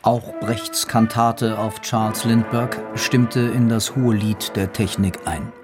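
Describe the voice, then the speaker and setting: A man's voice: lowish voice, steady tone, takes it slowly, over music. A man delivering scripted narration for a historical radio documentary.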